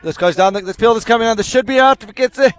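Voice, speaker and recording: A man's voice: very high-pitched, rapid-fire, smooth. A man giving chatty, explanatory live commentary on an amateur cricket match. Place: outdoors at a cricket ground.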